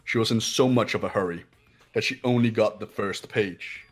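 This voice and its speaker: A pompous young intellectual from a video game, voiced by a man, low and husky. He sounds condescending and analytical, with music underneath. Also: natural voice. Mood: smug, analytical. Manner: pompous, impatient, deliberate.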